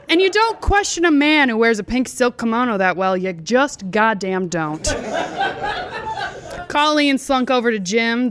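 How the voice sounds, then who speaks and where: A drunk woman reading a bawdy comic story aloud as a storyteller. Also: on the high side.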